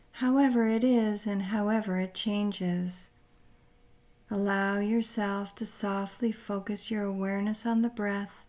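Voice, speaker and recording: Slow, mellow woman guiding meditation. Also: mid-range voice. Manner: soothing, gentle, deliberate phrasing with pauses. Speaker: guided meditation narrator. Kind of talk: guided meditation, scripted narration.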